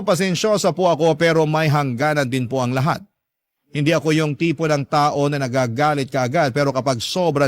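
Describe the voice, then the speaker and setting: A man's voice: a little high, no echo. A man with a Filipino accent reading a first-person story as scripted dramatic narration, like a radio storyteller.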